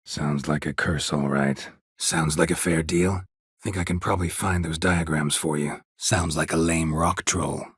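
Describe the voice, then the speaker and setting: A world-weary video game monster hunter with an extremely low, husky voice. He speaks in terse, deadpan lines, stoic and dryly sardonic, recorded close and dry with no room around him. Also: a little slow, dry recording, full character voice. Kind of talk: video game character dialogue.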